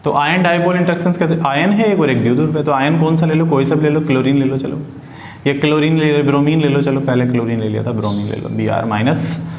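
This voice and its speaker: A man's voice: fairly high pitch, fairly even intonation, fast, a little mellow. A man teaching an informal lesson to students, repeating phrases and asking them rhetorical questions. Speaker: chemistry teacher. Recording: echoey room with faint background noise.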